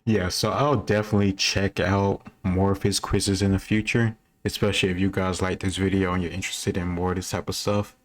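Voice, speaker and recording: A man's voice: low-pitched, level tone, brisk, few pauses. A man giving casual, off-the-cuff commentary as a video host, thinking aloud and offering his opinions.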